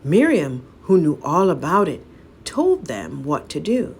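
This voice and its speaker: Low, gravelly woman storyteller. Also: sing-song, unhurried, a little mellow, slight background noise. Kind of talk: dramatic read-aloud folk tale narration.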